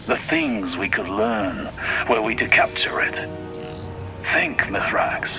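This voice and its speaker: Proud, scholarly advisor. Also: male voice, on the low side, sing-song, creaky, exaggerated voice, poor audio. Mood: probing, intent. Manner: deliberate, formal. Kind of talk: video game cutscene dialogue. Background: music.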